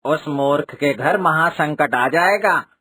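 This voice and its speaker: A superstitious village temple priest from a cartoon fable, voiced by a man, pitched on the high side. He is excitable and blustering, quick to scold. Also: slightly rough, heavily acted. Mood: solemn warning. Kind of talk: Hindi cartoon moral story dub.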